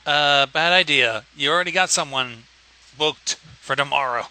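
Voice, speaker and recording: A man's voice: a little high, normal speed, sharp tone, low-quality recording. A man co-hosting a livestream, chipping in with casual, wry and teasing comments. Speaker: co-host commenting on the reading.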